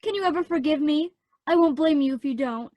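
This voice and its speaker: A woman's voice: high-pitched, fairly quick, a little mellow, no echo, close to an everyday voice. A woman playing a remorseful young girl in a modern radio play, pleading with childlike earnestness.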